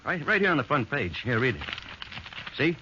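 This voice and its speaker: An actor playing a scared, talkative young newsboy witness in 1940s radio drama, halting and slangy. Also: male voice, mid-range voice, sing-song, pushed and bright. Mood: nervous, eager. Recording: muffled, noisy old radio recording.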